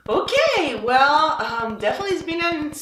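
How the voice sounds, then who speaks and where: A woman's voice: high voice, big pitch swings, moderate pace, fairly bright tone. Enthusiastic Chilean woman in an echoey room. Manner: enthusiastic, speaks English as a second language with small slips. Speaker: guest, personal stylist. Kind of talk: casual interview conversation. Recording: echoey room with faint noise.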